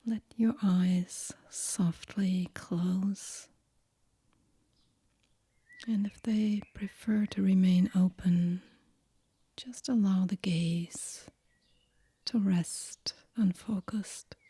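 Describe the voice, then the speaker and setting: Breathy, whispering woman guiding slow meditation. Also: mid-range voice, steady tone, no room sound. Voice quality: breathy, hushed, near-whisper. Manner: gentle, unhurried, soothing, with long pauses. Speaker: yoga nidra meditation guide. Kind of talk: guided relaxation meditation, soft and whispery.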